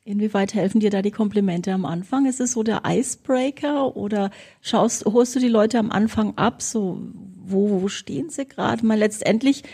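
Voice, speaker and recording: A woman's voice: mid-range voice, moderate pace, mellow, husky. A woman interviewer asking long, chatty questions in a casual conversation.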